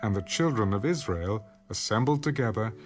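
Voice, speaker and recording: A man reading scripted audio Bible narration in a measured, solemn manner. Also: on the low side, animated. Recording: music under the voice.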